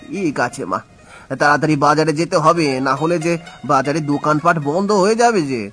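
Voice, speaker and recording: A man playing a gentle, poor village father in a cartoon, speaking in a tender, plaintive way. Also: on the high side, fairly quick, faint music behind, lightly acted. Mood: sorrowful, tender. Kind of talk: cartoon voice acting.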